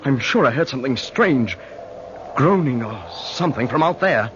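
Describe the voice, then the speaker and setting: A slightly noisy, muffled old radio recording carries a man acting a polite, uneasy gentleman passenger. He speaks earnestly and courteously in horror-serial style, with very lively intonation. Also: medium pitch, moderate pace, close to an everyday voice. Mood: alarmed, urgent. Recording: muffled vintage radio recording, faint noise.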